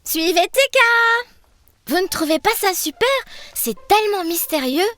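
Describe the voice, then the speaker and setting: A woman voicing a brave, curious little girl in a children's cartoon, eager and expressive as she explains things. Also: very high-pitched, unhurried, exaggerated voice.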